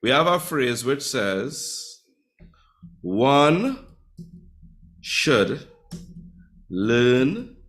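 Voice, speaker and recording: A man runs a live online Spanish class. He talks playfully, teasing his students and calling on them by name. His voice sits at an ordinary, mid-range pitch. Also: sing-song, extremely slow, pauses often, a bit bright. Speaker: Spanish teacher.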